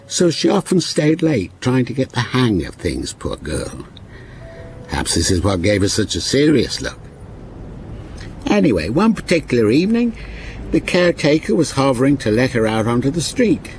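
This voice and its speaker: Lively, courteous ghost-story narrator, noisy backdrop. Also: male voice, mid-range voice, normal speed. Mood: atmospheric, suspenseful. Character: courteous, softly insistent male storyteller and train passenger.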